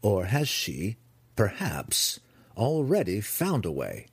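A man voicing a shrewd, composed dark elf noblewoman in a fantasy audiobook, measured, formal and knowing. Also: lowish voice, wildly swooping pitch, slightly rough, no room sound, exaggerated voice.

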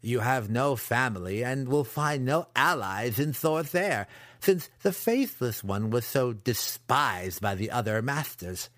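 A man voicing a sly, cruel young male dark elf apprentice in an audiobook, taunting as he schemes. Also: mid-range voice, lively intonation, measured pace, no echo. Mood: matter-of-fact menace. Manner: taunting, matter-of-fact scheming. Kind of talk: audiobook character acting.

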